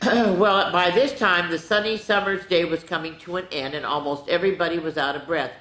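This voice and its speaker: A woman hosting a children's story time, reading a storybook aloud in an animated, playful way with dramatic asides to the young listeners. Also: fairly low pitch, a little fast. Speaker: children's storyteller, show host. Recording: roomy, echoing indoor sound.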